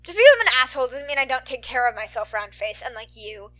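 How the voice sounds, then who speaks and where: A woman voicing a proud, abrasive teenage boy who swears and growls in an animated story. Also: on the high side, quick pace. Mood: indignant. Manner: growling, snarling, gruff.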